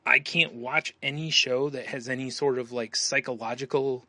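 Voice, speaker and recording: Bright-voiced rambling man on a podcast. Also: mid-range voice, steady tone, normal speed, dry recording. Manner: rambling, laughs often, trails off. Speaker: podcast co-host. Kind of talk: casual chat.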